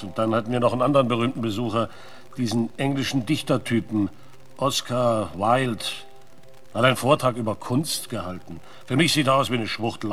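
A man's voice: mid-range voice, a bit bright, natural voice. An actor playing a crude, gossipy frontier townsman in a radio play, blunt and colloquial.

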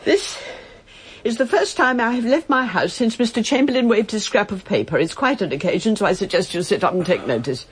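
An actress in a radio drama playing an elderly widowed aristocrat, imperious and brisk, with a husky voice. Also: female voice, mid-range voice, lively intonation. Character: elderly reclusive widowed aristocratic lady, commanding.

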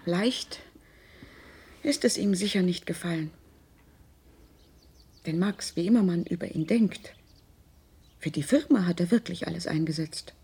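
An actress playing an earnest middle-aged mother who confides in her grown son, deliberate and emphatic, in a naturalistic radio drama. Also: on the low side, mellow, slightly rough, full character voice. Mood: grave, sad.

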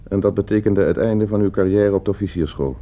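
An actor playing a coldly scheming gentleman heir in a radio play, suave, calculating and condescending. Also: low-pitched, fast talker. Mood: coolly probing. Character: coldly scheming, broke gentleman heir plotting his uncle's murder. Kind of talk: Dutch radio play acting. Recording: muffled old radio play recording.

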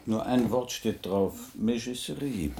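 An actor playing a shrewd investigator giving clipped, matter-of-fact orders in a German radio crime drama. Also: male voice, mid-range voice, unhurried, vocal fry, mellow, a little hiss or hum. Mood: matter-of-fact, commanding. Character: shrewd, commanding male investigator briefing his assistant.

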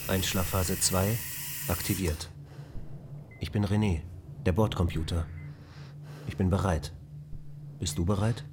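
A deep, calm ship computer. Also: male voice, noisy background. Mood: level. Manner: even, measured, matter-of-fact. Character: calm male onboard ship computer, patient storyteller. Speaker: onboard computer telling a bedtime fairy tale. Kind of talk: German radio drama acting. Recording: radio play with music underneath.

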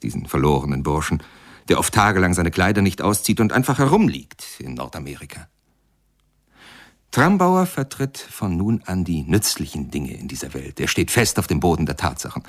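Ein Schauspieler gibt in einem Hörspiel den rhetorisch ausholenden, bedeutungsvollen Erzähler. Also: male voice, sits low. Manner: rhetorical, piling up adjectives deliberately. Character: grandiloquent male storyteller presenting the tale. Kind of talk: scripted radio drama narration.

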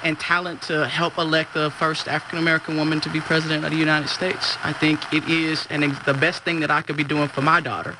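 A male campaign advisor giving an interview, speaking in a measured way with many fillers and restarts. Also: fairly high pitch, flat delivery, background noise. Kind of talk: on-the-street interview.

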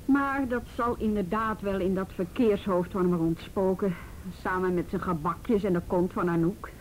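A woman's voice: normal speed, darkish tone, natural voice. Actress doing wry tired lady cop in a radio play, sardonic and natural, bit of noise on the tape. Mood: weary, sardonic. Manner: dry, sardonic, mildly swearing. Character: wry, world-weary woman youth police officer. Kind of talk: naturalistic radio drama acting. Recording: noisy rumbly radio drama recording.

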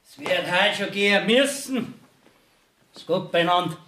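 High-pitched, blunt Bavarian manservant. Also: male voice, expressive, a little slow, pushed and bright, a little hiss or hum, full character voice. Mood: businesslike. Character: blunt, unfazed manservant of a visiting court official. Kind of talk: dialect radio play acting.

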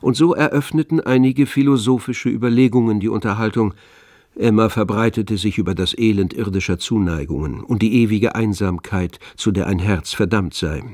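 Man as low-voiced, wry literary narrator. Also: normal speed, a little mellow, no echo, clean studio sound, lightly acted. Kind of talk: scripted radio drama narration.

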